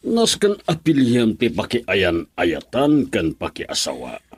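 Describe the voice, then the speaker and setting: A man's voice: fairly low pitch, wildly swooping pitch, measured pace, husky, full character voice. A Filipino man in a radio drama, playing a stern, stubborn, opinionated middle-aged uncle. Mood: stern, scornful.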